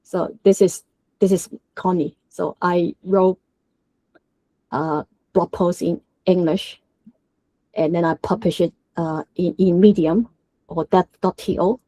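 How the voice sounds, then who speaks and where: A woman's voice: lowish voice, steady tone, takes it slowly, warm tone, no echo, rough recording. A woman from Hong Kong with a Hong Kong accent and Cantonese as her first language, giving a technical presentation at a developer meetup. She talks hesitantly, with many filler sounds and restarts. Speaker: guest speaker at a developer meetup.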